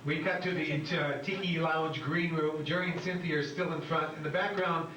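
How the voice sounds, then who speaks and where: A man's voice: a little high, fairly even intonation, moderate pace, bright, forceful tone. A man narrating stage directions from a script at a staged reading. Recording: echoey room, distant mic, faint noise.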